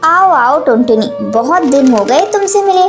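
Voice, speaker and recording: A woman's voice: sits high, animated, normal speed. A woman voicing a kindly, inquisitive female schoolmistress bird in a cartoon story, with music behind. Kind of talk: Hindi cartoon story voice acting.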